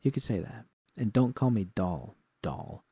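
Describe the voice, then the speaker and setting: A man's voice: fairly low pitch, big pitch swings, a little slow, mellow, breathy edge, dry recording, clean studio sound, full character voice. A man voicing a jaded, sardonic young woman, blunt and sweary, in an audio story. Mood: wry.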